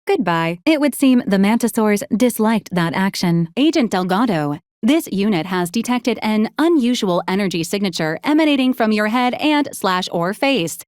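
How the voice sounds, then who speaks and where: A woman voicing a polite, literal-minded medical robot in a video game, speaking in formal, clinical, deadpan announcements. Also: medium pitch, no room sound, clean studio sound, close to an everyday voice. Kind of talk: scripted video game character lines.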